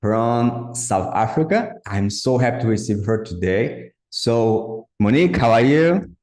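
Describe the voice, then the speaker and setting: Halting host, Portuguese native speaker. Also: male voice, medium pitch, lively intonation, a little mellow, echoey room. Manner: hesitant, halting with restarts. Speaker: podcast host. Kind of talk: interviewing a guest.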